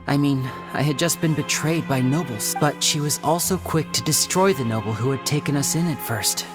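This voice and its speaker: An actor playing an earnest, guarded young stage magician in a video game, speaking in a measured, explanatory way. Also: male voice, fairly high pitch, lightly acted. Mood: solemn, resolute. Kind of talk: video game story voice acting. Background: background music.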